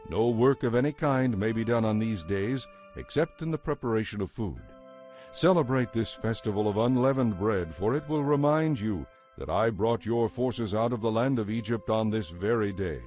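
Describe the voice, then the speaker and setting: A man narrating scripture in a solemn, measured and authoritative way, as part of a dramatized reading. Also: lowish voice, animated, darkish tone. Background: background music.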